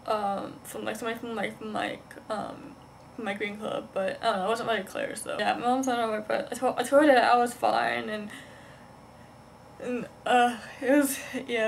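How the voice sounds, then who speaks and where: A young woman chatting casually as a vlogger, rambling and halting, constantly dropping "like" into her sentences. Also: fairly high pitch, vocal fry. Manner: halting, heavy use of "like", rambling. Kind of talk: casual rambling chat to camera. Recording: noticeable background noise, amateur vlog sound.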